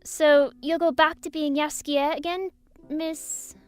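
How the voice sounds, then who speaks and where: Young girl princess, acted by a woman: high light pitch, candid and curious, fantasy audio drama. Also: level tone, takes it slowly, no echo, faint music behind. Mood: admiring, curious.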